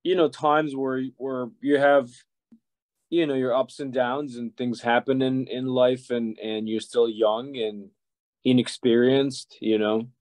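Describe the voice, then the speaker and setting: A man in his forties with a Serbian accent, giving halting, reflective answers in a conversational interview. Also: mid-range voice, steady tone, a little mellow, no room sound. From Serbia, former Yugoslavia. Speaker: interview guest, violinist and music educator. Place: office or teaching room, indoors. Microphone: video call.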